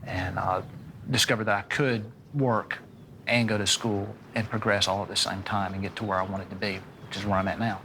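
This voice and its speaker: A man's voice: fairly low pitch, steady tone, fairly quick, sharp tone, a little hiss or hum, rough recording. A man from the southern United States with an American accent, giving a plain personal testimonial for an advert.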